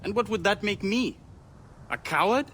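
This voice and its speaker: Earnest young Egyptian dreamer, noisy background. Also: male voice, high voice, expressive, normal speed, fairly bright tone. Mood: eager, dramatic. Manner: dramatic, poetic, questioning. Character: earnest, bookish young Egyptian son of a warrior. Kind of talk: video game cutscene acting.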